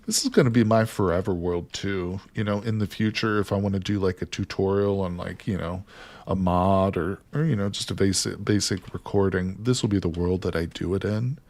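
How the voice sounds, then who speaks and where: A man with a low, gravelly voice chats casually while he plays a survival game live. He greets viewers by name and jokes with them between tasks, typing now and then at a keyboard. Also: fairly even intonation, fairly quick, darkish tone, no room sound. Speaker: live streamer.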